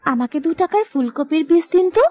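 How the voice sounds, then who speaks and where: A woman voicing a sweet, dutiful young village daughter in a cartoon moral tale, earnest and gentle. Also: extremely high pitch, lively intonation, a little fast, no room sound. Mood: pleading.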